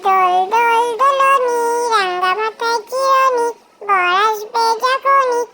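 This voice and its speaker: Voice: extremely high pitch, slow, over loud music. A tiny childlike voice reciting nursery rhymes in a sing-song way, on a very noisy track with clicks.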